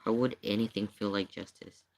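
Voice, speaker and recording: A man's voice: fairly low pitch, steady tone, brisk, darkish tone. A man giving casual live commentary in an emphatic, questioning way, often repeating his points. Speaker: streamer reacting to paranormal investigation video.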